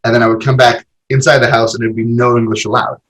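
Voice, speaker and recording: A man's voice: medium pitch, level tone, quick pace, a bit bright. A man in his forties, a podcast guest, talking casually about his past with lots of fillers and repeated words. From Argentina. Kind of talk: casual interview conversation.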